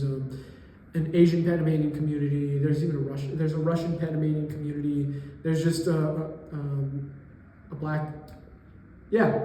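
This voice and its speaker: A young man in his twenties, a college student from New York, answering interview questions thoughtfully and pausing to find his words. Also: a little high, dark tone. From New York area, US. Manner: thoughtful, pauses to search for words. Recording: echoey room with long reverb.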